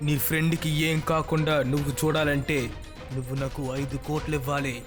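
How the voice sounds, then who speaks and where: A man's voice: fairly high pitch, level tone, brisk, lightly acted. Man voicing a boastful, threatening cartoon kidnapper. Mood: menacing. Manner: threatening, emphatic. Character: boastful, menacing male kidnapper demanding ransom. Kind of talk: cartoon dub acting. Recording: loud music underneath.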